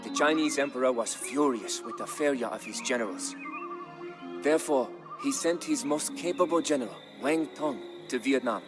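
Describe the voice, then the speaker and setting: A man reading a scripted, dramatic historical narration in a measured, solemn storytelling manner. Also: medium pitch. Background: background music.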